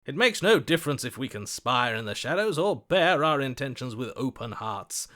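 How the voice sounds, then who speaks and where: A man's voice: mid-range voice, very animated, normal speed, bright, forceful tone. A man playing a florid, passionate, lovestruck romantic in a video game, speaking in a theatrical, grandiloquent manner. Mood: passionate, defiant. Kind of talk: video game character acting.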